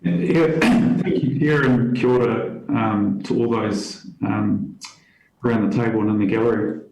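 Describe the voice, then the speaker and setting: A councillor speaking politely and hesitantly to a meeting over a video call, with frequent ums. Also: male voice, on the low side, unhurried, creaky, darkish tone, echoey room, poor audio. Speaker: regional councillor. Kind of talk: formal meeting remarks.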